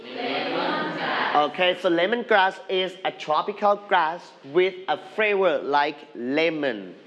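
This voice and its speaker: A male teacher speaking slowly and deliberately, his voice a little higher than most men's. He repeats each question and has the class say words after him, with a faint room hiss behind him in the studio classroom. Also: a bit bright. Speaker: English teacher. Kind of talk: classroom lesson broadcast on TV.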